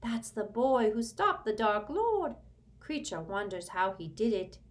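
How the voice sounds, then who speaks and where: A woman's voice: mid-range voice, very animated, measured pace, voice pushed up. A woman reading aloud and voicing a bitter old male house-elf servant who mutters furiously. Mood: muttering resentfully. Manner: furious hissing mutter, bowing servility. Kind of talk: audiobook character voice.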